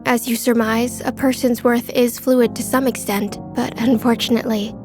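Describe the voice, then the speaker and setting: A woman's voice: on the high side, little pitch movement, darkish tone, soft background music, natural voice. An actress playing a polite, reserved young woman who speaks formally and with care in a manga drama dub. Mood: polite concern. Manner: formal, measured.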